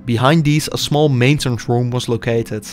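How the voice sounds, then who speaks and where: A young man reads scripted documentary narration in a measured, explanatory way. His voice sits at an ordinary middle pitch, and faint music plays underneath. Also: steady tone. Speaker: urban explorer narrator.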